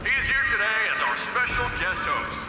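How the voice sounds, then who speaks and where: An actor playing a formal, courteous ceremony announcer in a video game, projecting to guests. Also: male voice, high-pitched, brisk, close to an everyday voice. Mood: formal welcome. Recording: very echoey hall, distant, loud music.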